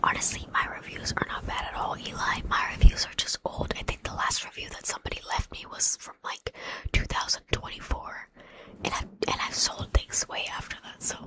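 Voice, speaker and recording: A woman whispering an exasperated storytime while chatting with live viewers as an ASMR host. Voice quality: breathy whisper.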